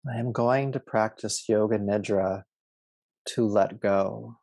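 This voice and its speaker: A man guiding a yoga nidra relaxation as a teacher, with a soothing, measured, instructive delivery. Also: lowish voice, fairly even intonation, gravelly, a little mellow, no echo. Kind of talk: guided relaxation meditation.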